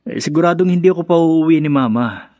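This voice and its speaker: A man playing a dutiful, affectionate young Filipino husband and son in a radio drama, gentle and placating. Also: on the high side, fast, dry recording, lo-fi sound, natural voice. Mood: apologetic, caring.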